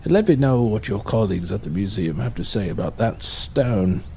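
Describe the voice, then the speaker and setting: An actor plays a folksy foreman with a greedy streak. He talks in a gravelly, drawn-out way, and faint noise sits behind him. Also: on the low side, lively intonation, dark tone. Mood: somber. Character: gruff working foreman, greedy old friend. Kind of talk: audio drama acting.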